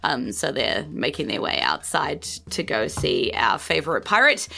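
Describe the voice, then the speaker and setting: A woman's voice: fairly low pitch, vocal fry, fairly bright tone. A woman, slightly sick with flu, chattily narrating a tabletop roleplaying game as its host. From New Zealand. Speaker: game master, podcast host.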